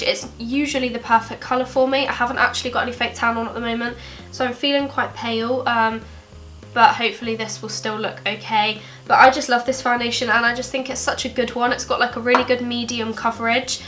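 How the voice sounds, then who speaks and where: Young woman, bright voice, a bit high, stuffy from a cold, chatty tutorial vlog. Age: young adult. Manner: chatty, friendly, many ums. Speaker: beauty vlogger. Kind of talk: makeup tutorial. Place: bedroom desk indoors.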